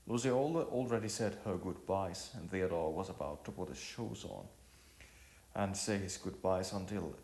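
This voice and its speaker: A man reading a story aloud as an amateur narrator, haltingly, often repeating and correcting his words. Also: on the low side, darkish tone, echoey room.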